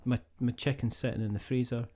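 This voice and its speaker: A man hosting a podcast in casual chat, with a jokey, rambling and animated way of talking. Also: medium pitch, brisk. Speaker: podcast host.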